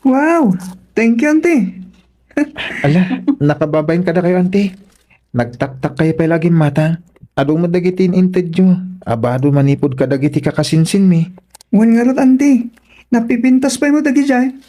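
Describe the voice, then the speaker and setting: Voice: medium pitch, normal speed, pauses often, warm tone, lightly acted. Eager, polite young Ilocano provincial actor's role. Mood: grateful, earnest. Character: eager, respectful provincial youth, polite to elders. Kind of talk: radio drama acting.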